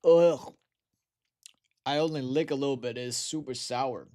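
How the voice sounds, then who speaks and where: A man's voice: medium pitch, measured pace, warm tone. A tired young man from Taiwan, chatting to viewers on a livestream in slangy, swearing speech. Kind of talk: casual livestream chat.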